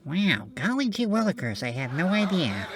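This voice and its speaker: A man's voice: a little high, big pitch swings, normal speed, background noise. A man playing a naive, eager young son-in-law in a scripted comedy sketch, boyish and gullible.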